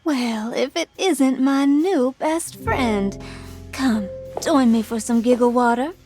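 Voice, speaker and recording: An actress playing a flirtatious, decadent 1920s socialite in a video game, languid, teasing and theatrical. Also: female voice, sits high, takes it slowly, darkish tone. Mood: teasing, tipsy. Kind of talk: video game cutscene acting with period slang. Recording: background music behind the voice.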